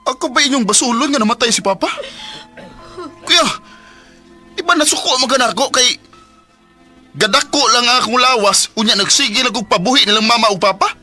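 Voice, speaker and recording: An actor playing a dutiful young farm son in a Bisaya radio drama, earnest and deferential, with a Cebuano Filipino accent. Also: male voice, extremely high pitch, a little fast, pauses often, pushed and bright, faint music behind, exaggerated voice. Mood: grieving, upset. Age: young adult. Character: dutiful young son from a poor farming family.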